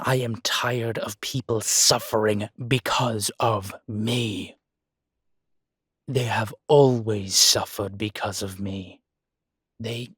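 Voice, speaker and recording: A man playing a guilt-ridden, centuries-old vampire in an audio drama, speaking gravely and formally with weary, deliberate pauses. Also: mid-range voice, a bit bright, no echo, clean studio sound. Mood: weary, guilty. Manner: slow, grave, deliberate phrasing with long pauses. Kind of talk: dramatic audio roleplay acting.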